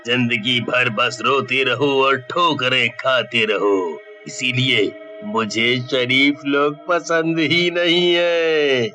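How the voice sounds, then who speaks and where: A gloating cartoon demon in a village thug's body, played by a man. He speaks slowly and menacingly, with a hard, bright edge. He scorns decent people and relishes his own wickedness. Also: medium pitch, fairly even intonation, faint music behind. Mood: scornful, scheming. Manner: menacing, drawn-out, scornful of honest folk. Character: gloating male devil possessing a greedy village bully. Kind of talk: Hindi cartoon story acting.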